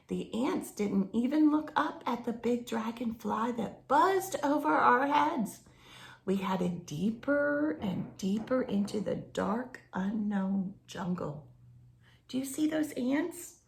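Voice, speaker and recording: A woman reading a picture book aloud to children in an expressive, explanatory storytelling manner. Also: a little high, gravelly, echoey room. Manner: explains to young listeners with simple questions and expressive emphasis.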